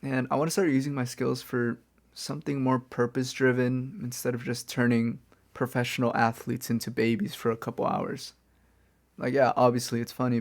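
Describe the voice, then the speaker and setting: A man playing a smug but chatty reformed supervillain in a soft-spoken, casual ASMR roleplay. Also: mid-range voice, lightly acted. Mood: smug, confiding. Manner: casual, wry, conversational. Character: smug male supervillain turning reformed, playfully chatty kidnapper. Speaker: audio roleplay performer.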